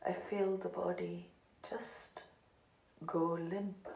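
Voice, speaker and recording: A woman meditation teacher leading a guided meditation calmly and deliberately, with long pauses between phrases. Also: fairly low pitch, creaky. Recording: roomy echo, light background noise.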